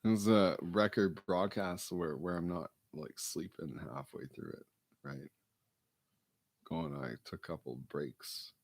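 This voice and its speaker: A man with a gravelly baritone, rambling through a late-night solo broadcast with mumbled, self-deprecating asides. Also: measured pace, no room sound.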